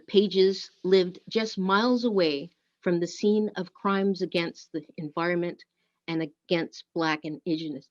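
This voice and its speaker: A woman with a slightly low voice reads prepared material aloud. Her delivery is deliberate and measured, like a service leader at a reading. The recording is somewhat dull and lo-fi. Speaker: worship service co-leader and reader. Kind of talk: scripted narration and dramatic reading aloud. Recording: dull, lo-fi muffled sound.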